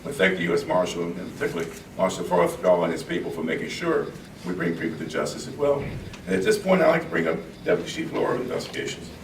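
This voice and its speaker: A man's voice: low-pitched, fast talker, gravelly. A man, a police chief, speaking at a press conference while losing his voice to a congested nose, earnest and repeating words as he goes. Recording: echoey room, distant mic, background noise.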